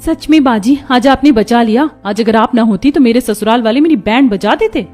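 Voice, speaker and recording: Woman voicing a high, chatty cartoon housewife. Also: moderate pace, a little mellow, faint music behind, natural voice. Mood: helpful, friendly. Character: chatty, helpful young housewives, daughters-in-law in a cartoon.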